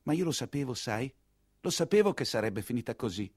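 An actor playing a heartbroken, naive young count who speaks in a pleading, wounded way in a radio monologue play. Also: male voice, mid-range voice, sing-song, fairly quick, no echo, clean studio sound. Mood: downcast.

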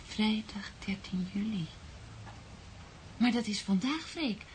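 An actress playing a calm, reassuring young wife in a Dutch radio drama, speaking softly. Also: female voice, medium pitch, slow, a little hiss or hum, close to an everyday voice. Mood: urgent. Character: Simone, calm soothing pregnant young wife.

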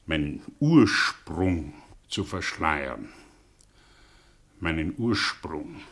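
An actor playing an older, sardonic, brilliant fallen intellectual in a German radio drama, speaking theatrically with rhetorical pauses and swells. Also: male voice, medium pitch, fairly bright tone, slightly rough, exaggerated voice. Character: aging, theatrical, sardonic man, brilliant fallen intellectual confessing his past.

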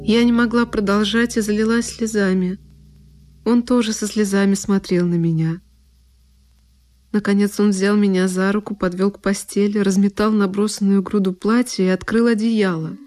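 A woman's voice: medium pitch, fairly even intonation, moderate pace, darkish tone. A woman giving an emotive, theatrical first-person narration of a literary drama. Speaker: solo performer, narrator. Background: music.

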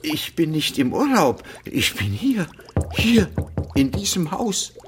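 An actor playing an injured, pleading letter carrier with a husky voice in a German radio play. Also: male voice, on the high side, sing-song, takes it slowly, slight background noise. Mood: complaining. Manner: plaintive, pleading. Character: injured, plaintive male letter carrier with a twisted ankle.

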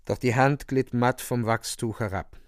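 A man's voice: mid-range voice, unhurried, no echo, clean studio sound. A man giving solemn, theatrical literary narration for a radio play.

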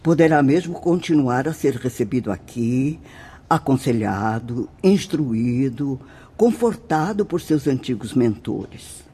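A woman's voice: a bass voice, lively intonation, unhurried, dark tone, slightly rough, heavily acted. A Brazilian woman playing a venerable nun who guides spirits in a radio drama, speaking in a solemn, declamatory, tenderly instructive way. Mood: gentle instruction. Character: venerable, devout nun guiding spirits in the afterlife.

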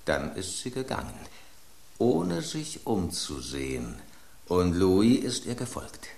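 A man's voice: sits low, wildly swooping pitch, slow pace, gravelly, darkish tone, echoey room, slight background noise, heavily acted. An actor voicing an aging male loaf of bread, an urbane, ironic epicure, in a radio play. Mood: composed.

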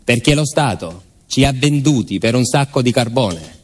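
A man from southern Italy narrating a personal theatre monologue in deliberate short phrases with emphatic repetition. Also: medium pitch, expressive. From Salento, southern Italy. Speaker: stage narrator and actor.

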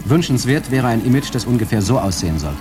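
An actor playing a coldly formal marketing analyst reading out a report in a radio drama. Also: male voice, mid-range voice, animated, quick pace, over loud music, natural voice. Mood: clinical. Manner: clinical, formal.

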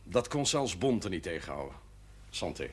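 An actor playing a frank, caring friend in a television drama, with a measured way of talking. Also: male voice, low voice, very animated, breathy edge, exaggerated voice. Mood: convivial.

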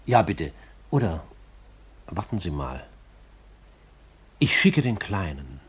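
Elderly gentleman: low, husky, paternal and courteous, measured delivery for vintage radio drama. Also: sing-song, exaggerated voice. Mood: businesslike. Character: kindly but cautious elderly gentleman, Mr Brownlow. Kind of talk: 1950s German radio play acting.

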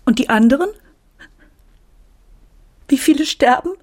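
A woman's voice: high voice, unhurried, darkish tone, no room sound, clean studio sound. A middle-aged woman playing an anxious, tender mother, speaking in a subdued, thoughtful way in a radio drama. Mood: worried, subdued. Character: anxious, tender mother of an ailing teenage girl. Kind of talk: radio drama dialogue and narration.

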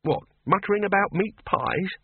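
A jumpy wizard bursar, as a man puts him on talks in a hushed, hurried voice. The sound is thin and phone-like, with no room. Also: high voice, slightly rough, dry recording, voice pushed up. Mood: jittery. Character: jittery male wizard bursar. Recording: narrow phone-like sound, dry.

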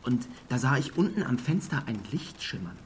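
A man's voice: medium pitch, big pitch swings, moderate pace, lightly acted. Radio play: actor as small-town male informant, confiding half-whisper, talkative, faint ambience behind. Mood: eager, confiding. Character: talkative small-town male eyewitness, eager, deferential, rambling. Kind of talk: German radio drama acting. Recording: faint background noise and ambience.

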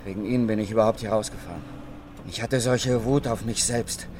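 A man's voice: on the low side, fairly even intonation, brisk, close to an everyday voice. Male actor doing a middle-aged loser type, bitter and jumpy, oversharing in a crime radio play, bit of background noise. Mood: self-reproachful. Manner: confiding, edgy, rambling self-justification. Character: embittered, self-loathing unemployed racetrack technician, nervous and talkative. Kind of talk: German radio crime drama acting.

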